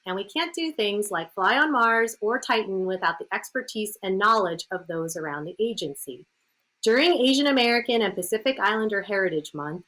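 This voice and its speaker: Bright-voiced woman hosting a broadcast. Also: medium pitch. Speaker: host. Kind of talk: scripted presenting to a live-stream audience.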